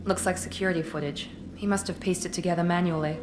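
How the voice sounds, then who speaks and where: A woman's voice: fairly low pitch, fairly even intonation, quick pace, reverberant, over music, close to an everyday voice. A woman playing a cool, composed covert operative in a video game, clinical and detached. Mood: observant. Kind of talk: video game cutscene acting.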